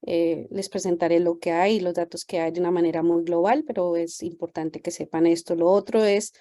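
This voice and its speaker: A woman's voice: lowish voice, fairly even intonation, a little fast, mellow. A woman physician welcoming parents on a video call, speaking hesitantly with many fillers. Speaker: pediatric geneticist giving a talk.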